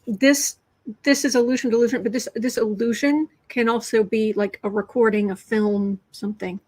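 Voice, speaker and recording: A woman with a fairly high voice hosts a casual tarot livestream, flipping through cards and answering her chat. She talks in a chatty, sarcastic way, restarts her sentences and stops now and then to clear her throat. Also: fairly even intonation, moderate pace, darkish tone. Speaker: host of a political tarot livestream.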